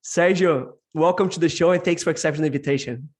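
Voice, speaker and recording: A man's voice: on the high side, quick pace, darkish tone. A man hosting a podcast, introducing his guest and asking friendly, enthusiastic questions. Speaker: podcast host. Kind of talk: podcast interview.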